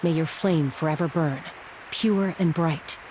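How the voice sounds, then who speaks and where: A woman playing a composed, sincere female warrior in a game cutscene, measured and earnest. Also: low-pitched. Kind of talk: video game cutscene acting. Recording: hissy noise behind the voice.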